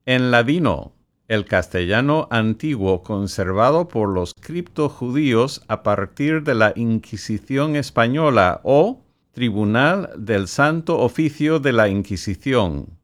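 Male podcast host, non-native speaker, mid-pitched, measured announcer read. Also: expressive. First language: English. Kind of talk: scripted podcast presentation with sponsor reads.

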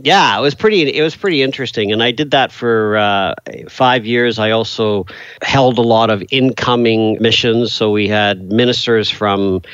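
Middle-aged male business coach, interview guest, loose and rambling, slightly low voice, dry lo-fi recording. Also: normal speed, fairly bright tone, clear, resonant tone, dry recording. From England, raised in Canada. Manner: rambling, many fillers and restarts. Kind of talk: casual interview conversation. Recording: dull lo-fi dry sound.

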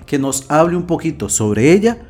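A man's voice: fairly high pitch, big pitch swings, normal speed, darkish tone, soft background music. A man hosting a book review, talking straight to the listener in a friendly way. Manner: friendly, direct address to the listener.

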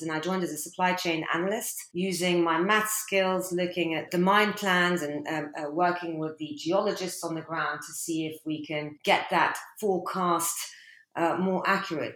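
A woman's voice: lowish voice, fairly bright tone, reverberant, low-quality recording. A woman in her forties, originally from an Algerian family and raised in London, talking fluently as an interview guest, with frequent small fillers. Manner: fluent, measured, with frequent "uh" and "you know". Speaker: guest, diamond company executive. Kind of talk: conversational interview.

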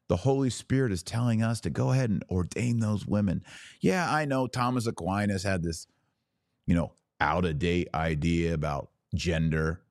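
A man's voice: low-pitched, a little mellow, no echo, clean studio sound. A man hosting a podcast, giving opinionated religious commentary in a preaching style, emphatic, with rhetorical questions and sarcastic asides.

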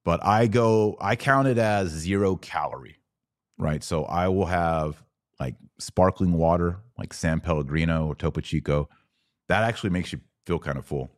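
A man's voice: low voice, normal speed, dry recording, clean studio sound. A man hosting a podcast in casual livestream style, talking informally and emphatically to viewers and repeating phrases for emphasis. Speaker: podcast host. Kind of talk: casual livestream chat answering viewer comments.

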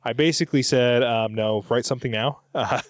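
A man's voice: mid-range voice, fairly quick, no room sound, studio quality. A middle-aged man hosting a podcast, chatting casually and reading out listener comments in a rambling, self-correcting way. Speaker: podcast host.

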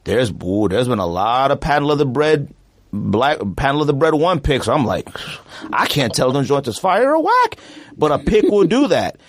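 A slangy, animated man hosting a casual podcast. His pitch leaps up and down as he talks. The sound is dry and close, with no room around it. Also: moderate pace, no echo. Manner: slangy, animated, trails off with "huh?". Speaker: podcast host. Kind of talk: casual sneaker podcast chat.

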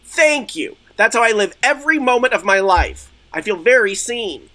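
An eccentric, self-dramatizing woman, played by an improv comedian. Her voice is forceful and sharp, and it leaps up and down. She is earnest and gushing, treating everything with theatrical sincerity. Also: a little high, lively intonation, moderate pace. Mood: self-justifying. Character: eccentric, self-dramatizing woman role-play therapy enthusiast. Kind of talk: improvised role-play comedy.

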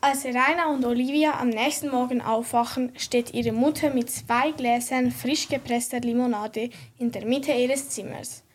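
A woman's voice: on the high side, fairly even intonation, moderate pace, faint music behind. A female narrator reading scripted story narration for a children's radio play.

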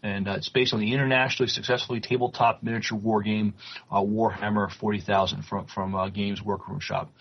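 Low-voiced man, slightly muffled podcast audio. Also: level tone, vocal fry, slightly rough. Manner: halting when reading aloud. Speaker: podcast co-host. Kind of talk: reading news items and chatting.

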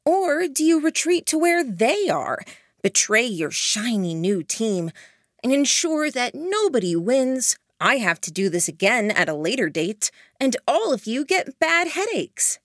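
A woman voicing a cocky, scheming young man who taunts his rivals with a mocking, drawn-out delivery. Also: on the high side, sing-song, dry recording, clean studio sound, pitched above the performer's own voice. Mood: taunting. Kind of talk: audiobook character voice.

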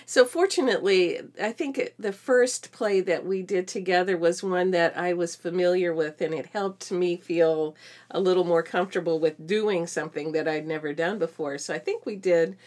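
Middle aged mom on a podcast, lowish voice, just chatting casually. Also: female voice, moderate pace, a little mellow. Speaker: podcast co-host, homeschooling mother. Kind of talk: casual conversational chat.

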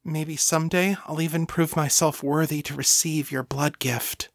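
Servile female thrall for fantasy audiobook, played by a man: lifted pitch, earnest, worshipful, self-effacing. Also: expressive, unhurried, fairly bright tone, dry recording, clean studio sound. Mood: adoring, reverent. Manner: earnest, reverent, submissive.

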